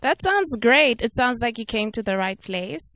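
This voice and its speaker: A woman's voice: a little high, sing-song, moderate pace, fairly bright tone, low-quality recording. Upbeat woman interviewer. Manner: upbeat, encouraging questions. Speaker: interview host. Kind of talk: casual interview hosting.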